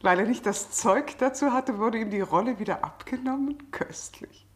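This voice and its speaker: A woman's voice: on the high side, moderate pace, close to an everyday voice. An actress playing a worldly middle-aged society lady in a 1960s German radio drama, playfully ironic and inquisitive. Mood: amused, curious.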